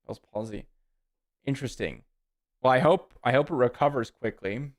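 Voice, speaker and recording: A man's voice: medium pitch, normal speed. A man in his thirties streaming a card game, casually chatting with viewers and thinking aloud about his plays. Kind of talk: casual live-stream chat while playing.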